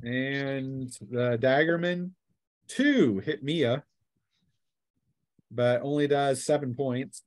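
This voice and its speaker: A man's voice: medium pitch, drawn-out words, pauses often, dry recording, clean studio sound. A man runs a tabletop game as game master, taking his time. He calls the action in plain, colloquial language, with very little room sound on his voice.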